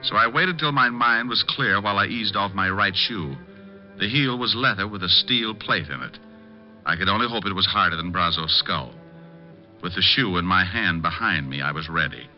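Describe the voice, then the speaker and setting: An actor playing a suave, wisecracking private detective with dry, flippant quips in a 1950s radio drama. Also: male voice, low-pitched, normal speed, faint music behind, close to an everyday voice. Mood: hard-boiled narration. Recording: dull old radio recording.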